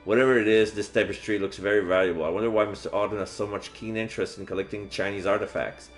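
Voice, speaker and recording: A man with a slightly low voice reads game text aloud as a streamer. He mixes narration with casual asides, and faint game music sits behind him. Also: steady tone, fast. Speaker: streamer playing a visual novel.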